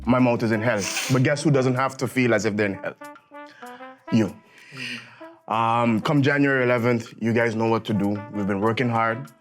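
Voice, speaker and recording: A man's voice: mid-range voice, moderate pace. A male candidate from St. on a hot-sauce interview. He is laid-back, laughs and repeats phrases for effect. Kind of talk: casual interview, campaign pitch. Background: background music.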